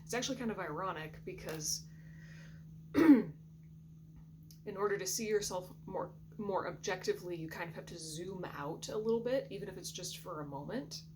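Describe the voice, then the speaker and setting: A woman giving a soft, reflective intuitive tarot reading in a groggy morning voice, often clearing her throat. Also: fairly low pitch, moderate pace. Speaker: tarot reader. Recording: steady background hum.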